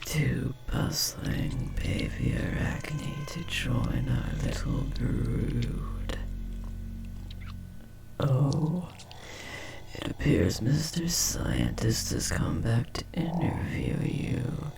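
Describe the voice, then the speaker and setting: A man voicing a possessive insectoid parasite, a doting yet menacing lover, in a breathy, gravelly whisper with slow, theatrical crooning for an audio horror roleplay. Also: mid-range voice, very animated, full character voice. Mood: amused, doting.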